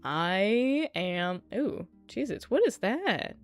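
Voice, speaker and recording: A woman streamer talks casually to her chat with a voice that still sounds sleepy. Her speech is loose and chatty, and she swears freely and laughs often. Soft music plays faintly underneath. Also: mid-range voice, sing-song, drawn-out words. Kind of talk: casual livestream chat while setting up a 3D model.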